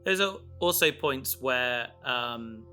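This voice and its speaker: A man in a casual podcast discussion, explaining his creative work in a halting way, thinking aloud. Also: mid-range voice, animated, sharp tone, soft background music. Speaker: audio drama creator.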